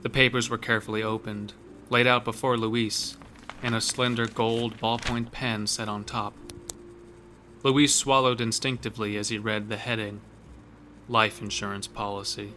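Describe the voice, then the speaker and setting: A man narrating a scripted horror story in a dramatic, measured storytelling manner. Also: mid-range voice, flat delivery, pushed and bright, slight background noise.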